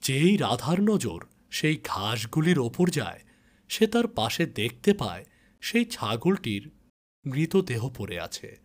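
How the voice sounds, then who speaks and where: A man's voice: mid-range voice, sing-song, slow pace. A man narrating a scripted moral tale as a storyteller.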